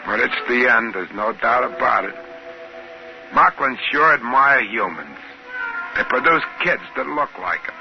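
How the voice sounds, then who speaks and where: An actor playing a plain, folksy trading-post worker who also narrates a 1950s science fiction radio drama. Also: male voice, medium pitch, lively intonation, measured pace, creaky. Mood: wry storytelling. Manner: folksy, colloquial. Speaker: narrator and character. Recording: muffled old radio broadcast with music.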